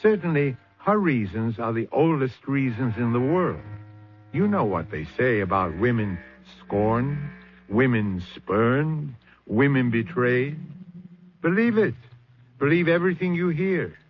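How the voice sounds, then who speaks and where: A man plays an ominous, knowing mystery host on old radio. He narrates theatrically, with sweeping intonation and deliberate, sardonic pauses. Faint music sits under the dulled recording. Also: medium pitch, expressive, dull audio, natural voice.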